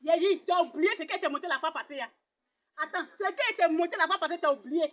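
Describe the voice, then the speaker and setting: A woman's voice: very high-pitched, fast talker, fairly bright tone, muffled recording. A woman playing a poor, fiery young woman who scornfully turns away a suitor, her emotional voice often breaking into sobbing laughter.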